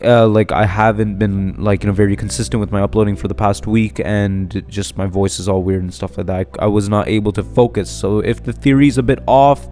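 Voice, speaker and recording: A man's voice: on the low side, fairly even intonation, brisk, few pauses, warm tone. A man hosting an unscripted fan theory video, rambling with lots of fillers and tag questions, his voice a little off from a flu and sore throat. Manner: rambling, many fillers and "right?" tags. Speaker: YouTube channel host.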